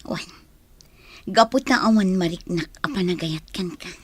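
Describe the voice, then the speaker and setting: A woman's voice: lowish voice, expressive, normal speed, husky. An actress playing a firm young woman who is engaged, in an Ilocano radio drama. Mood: firm, rejecting.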